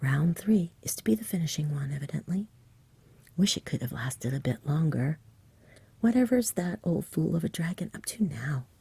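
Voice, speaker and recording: A woman voicing an eager, knowing young boy from a storybook in a breathy whisper. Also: deep voice, animated, moderate pace, warm tone, no echo, heavily acted. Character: excitable, shrewd young boy watching a staged fight. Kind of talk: whispered storybook character reading.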